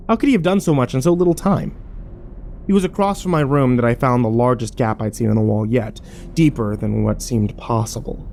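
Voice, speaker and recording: A man's voice: medium pitch, creaky, darkish tone. Man acting a brooding horror narrator, faint noise. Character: troubled, wary male survivor narrating his ordeal. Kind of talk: dramatic first-person horror narration.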